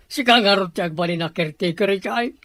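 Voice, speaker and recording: A man playing a scheming, secretive, moneyed boss in an Ilocano audio drama, with a husky voice and a Filipino accent. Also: high-pitched, normal speed, fairly bright tone, dry recording. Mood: secretive, commanding.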